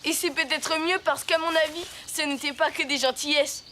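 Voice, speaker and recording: A woman's voice: sits high, fairly even intonation, normal speed, background noise, lightly acted. A woman voicing a plucky, kind young boy in a cartoon, speaking in an earnest, spirited way. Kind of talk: animated cartoon dialogue. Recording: music behind the voice.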